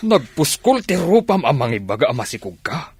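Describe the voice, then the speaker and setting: An actor with an Ilocano accent playing a hot-tempered, suspicious sugar daddy in a radio drama, drawing out scornful words. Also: male voice, fairly high pitch, very animated, normal speed. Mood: angry.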